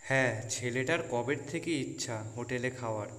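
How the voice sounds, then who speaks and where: A man voicing a poor, honest, middle-aged labourer father in a cartoon moral tale, speaking gently and patiently. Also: mid-range voice, fairly quick, natural voice. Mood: earnest, humble. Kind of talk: Bengali moral-story cartoon dialogue. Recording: echoey long reverb, music behind.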